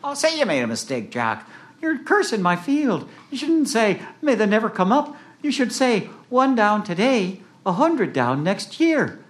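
A man's voice: high voice, wildly swooping pitch, a little slow, pitched above the performer's own voice, full character voice. A man voicing an indignant, scolding farmer in an Irish folktale, with a put-on Northern Irish accent. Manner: scolding, emphatic.

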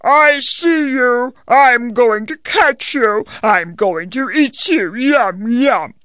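A huge, greedy giant booms out his threats in a slow, gloating drawl, as a woman storyteller puts him on. The voice sits a little high and drags along very slowly. The recording is close and dry. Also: lively intonation, no echo. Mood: greedy, threatening. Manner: loud, slow, gloating growl. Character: hungry evil male giant, booming and greedy.

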